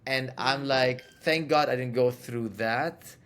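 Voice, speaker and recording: A man's voice: medium pitch, slow pace, a bit bright, clear, resonant tone. A middle-aged man from Lebanon, an interview guest who speaks candidly and repeats phrases for emphasis.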